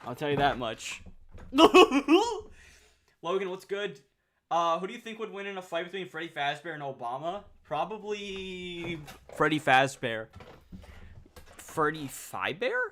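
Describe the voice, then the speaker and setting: A nineteen-year-old man chatting casually on a livestream, reading out viewer comments, excitable and swearing freely. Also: on the high side, takes it slowly, a little hiss or hum.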